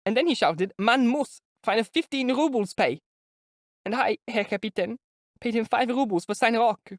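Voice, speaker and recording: A reader voicing an excitable, indignant German landlady with a German accent, rattling out her complaints. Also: medium pitch, moderate pace, no echo, studio quality, pitched above the performer's own voice, close to an everyday voice. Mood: aggrieved. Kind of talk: audiobook character voice.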